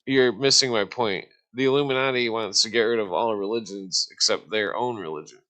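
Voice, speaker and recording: A man's voice: lowish voice, a little fast, sharp tone, dry recording. A man debating on a casual livestream in an insistent, explanatory way, pushing to be allowed to finish his point. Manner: insistent, explanatory, repeatedly asking to be let finish.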